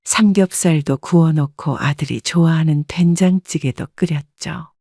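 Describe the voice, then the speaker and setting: A woman calmly narrating a family story in the first person as a scripted audiobook. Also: low-pitched, moderate pace, dry recording, clean studio sound. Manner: calm, even storytelling.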